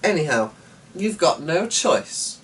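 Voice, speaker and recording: A man's voice: a little high, very animated, slow, a bit bright, pitched above the performer's own voice, heavily acted. A man voicing a spirited young noblewoman who goads her father with emphatic insistence in a storybook reading. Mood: urging, defiant. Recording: distant mic with faint noise.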